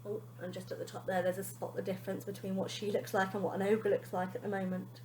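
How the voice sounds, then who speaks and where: A woman teacher reading a children's story aloud in an expressive style. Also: mid-range voice, fast, reverberant, slight background noise.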